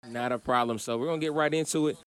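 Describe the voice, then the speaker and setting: A man hosts a podcast in a loose, conversational way, full of "you know" and easy fillers. His pitch sits in a typical middle range for a man. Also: quick pace, clean tone, no room sound. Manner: relaxed, chatty, lots of fillers. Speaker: podcast host. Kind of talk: casual interview.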